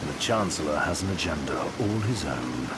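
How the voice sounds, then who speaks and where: An actor playing a composed young royal advisor in a video game, speaking formally and precisely with dry wit. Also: male voice, extremely low pitch, expressive, heavy background noise. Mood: grave, analytical. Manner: measured, formal, articulate, dryly witty. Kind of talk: video game cutscene acting. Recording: voice over loud game music.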